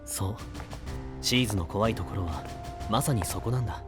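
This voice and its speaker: A man playing a composed young knight in an anime-style voice drama, explaining things in a measured, confident way. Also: lowish voice, close to an everyday voice. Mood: grave, explanatory. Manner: measured, explanatory, with deliberate phrasing. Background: prominent background music.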